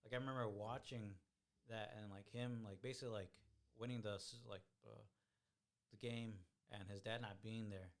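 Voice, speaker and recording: Young male co-host, low raspy gravelly voice, loose hesitant chat. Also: fairly even intonation. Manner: halting, many fillers and restarts. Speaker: podcast co-host. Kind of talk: casual chat.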